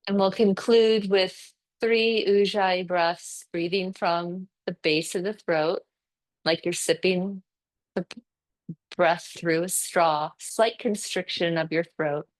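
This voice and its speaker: A woman yoga instructor leading breathing and relaxation practice in a gentle, unhurried way, leaving pauses between instructions. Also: on the low side, fairly even intonation, low-quality recording.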